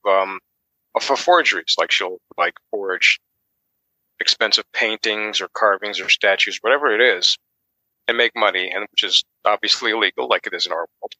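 A man's voice: medium pitch, pushed and bright, no echo, rough recording. A man co-hosting a podcast, giving a casual book summary in an informal, tentative way. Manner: tentative, informal, hedging as he explains. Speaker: podcast co-host.

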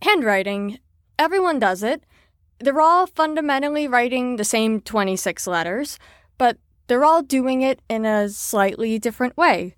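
A woman's voice: on the high side, dry recording, studio quality, close to an everyday voice. A woman in an audio drama playing an earnest, caring facility staffer who talks in a reassuring, sincere, slightly rambling way.

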